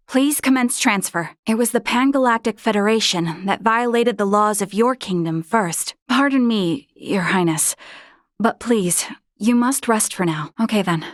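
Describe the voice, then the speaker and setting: A woman's voice: fairly high pitch, unhurried, no room sound, studio quality, close to an everyday voice. A woman voicing an earnest, principled young female first officer of a starship in a video game, with formal, precise diction. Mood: composed, formal.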